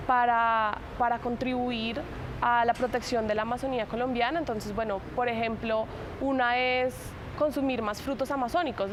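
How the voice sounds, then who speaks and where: A woman with a slightly high voice explains things informally, using fillers. She is outdoors in a city, with clear traffic noise around her. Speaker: interviewee. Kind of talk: casual explanation.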